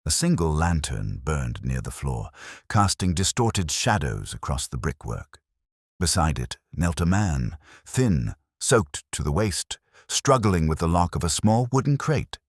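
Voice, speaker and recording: A man's voice: very deep voice, very animated, unhurried, husky, dry recording, studio quality. A man giving scripted, dramatic narration of a Victorian mystery audiobook in a literary style.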